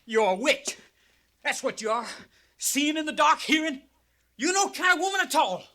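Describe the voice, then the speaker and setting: Animated young American frontier soldier. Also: male voice, very high-pitched, normal speed. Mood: spiteful, alarmed. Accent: American, rustic. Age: young adult. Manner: earnest, plain-spoken, folksy. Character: young, loyal, hot-headed frontier cavalry soldier. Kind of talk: 1950s radio western acting. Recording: noisy vintage 1950s radio recording.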